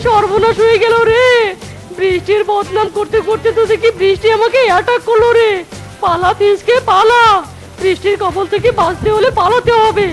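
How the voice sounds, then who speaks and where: A little cartoon forest dweller in a very high squeak. The character grumbles and panics with melodrama. Faint music sits behind. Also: female voice. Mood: panicked.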